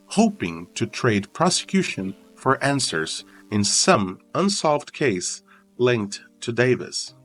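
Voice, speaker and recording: A man reading scripted true crime documentary narration in a solemn, suspenseful manner with deliberate dramatic pauses. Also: fairly low pitch. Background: background music.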